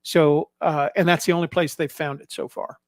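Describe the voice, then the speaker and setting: A man giving casual, explanatory commentary as a vlog host, in a halting way, often repeating and rephrasing himself. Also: on the high side, level tone, no echo. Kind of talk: casual narrated photo commentary.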